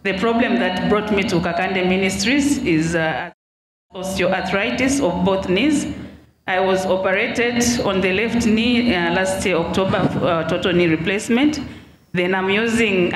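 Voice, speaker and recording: A woman describing her condition in a matter-of-fact way. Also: medium pitch. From Zambia. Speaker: testifier. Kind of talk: testimony. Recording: echoey room with faint noise.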